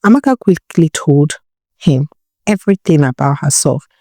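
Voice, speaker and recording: Low-voiced Nigerian woman storyteller, dry recording. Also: lively intonation, normal speed, warm tone, dry recording. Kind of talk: dramatic folktale narration.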